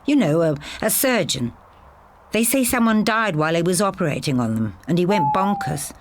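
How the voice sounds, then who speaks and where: A woman's voice: lowish voice, animated, moderate pace, pitched above the performer's own voice, natural voice. Storybook part: cocky boy, played by a woman, exasperated, emphatic, very varied intonation. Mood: matter-of-fact, eager. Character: cocky, exasperated boy, scornful know-all. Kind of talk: audiobook character dialogue.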